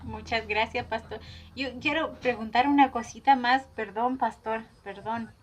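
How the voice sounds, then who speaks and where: A woman's voice: a little high, fairly even intonation, moderate pace, faint music behind. A Colombian woman with a slight Chilean touch in her accent, hosting a faith podcast in a friendly, eager way. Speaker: podcast host.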